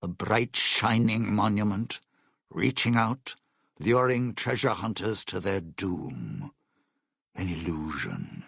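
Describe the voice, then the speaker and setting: An actor playing a grim wasteland storyteller in a video game, with a gravelly voice and a brooding delivery. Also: male voice, on the low side, lively intonation, takes it slowly, fairly bright tone, no room sound, studio quality, full character voice. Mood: grim, foreboding. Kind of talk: dramatic video game voice acting.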